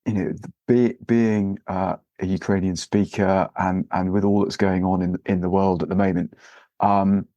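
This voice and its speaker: A man hosting a podcast interview, talking gently with many pauses and fillers. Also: low-pitched, fairly even intonation, no room sound, lo-fi sound. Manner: hesitant, many fillers and restarts. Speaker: podcast host. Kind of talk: relaxed interview with scripted intro.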